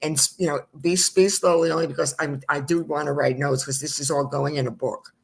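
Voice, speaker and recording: A woman's voice: low voice, fairly even intonation, a little fast, vocal fry, breathy edge. Chatty older woman host in her seventies. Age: 70s. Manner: chatty, rambling questions with restarts. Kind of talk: interview.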